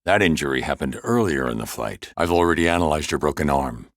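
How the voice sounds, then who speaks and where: A man voicing a grim, brooding male vigilante detective in a video game, speaking in a low, grave, clipped and methodical way. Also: lively intonation, brisk, no echo, studio quality. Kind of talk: game voice acting. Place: recording studio. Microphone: close studio mic.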